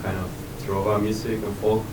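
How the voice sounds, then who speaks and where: A man from Chile, asking a question from the audience slowly and haltingly. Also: on the low side, little pitch movement, a little mellow. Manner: slow, halting, searching for words. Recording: distant echoey room with noise.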